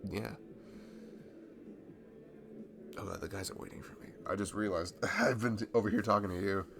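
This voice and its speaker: A man acting a nervous, smitten young man in an intimate ASMR roleplay, speaking in a halting, stammering, bashful way. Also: on the low side, fairly even intonation, vocal fry, a bit bright, slight background noise. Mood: happy, flustered. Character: nervous, smitten young man confessing to his childhood best friend.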